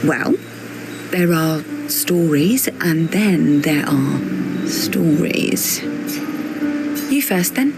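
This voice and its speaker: An actress playing a guarded, wry young teacher with quiet melancholy, in a measured, naturalistic video game cutscene style. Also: female voice, on the low side, lively intonation. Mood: wry, teasing. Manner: dry, teasing, measured. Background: music.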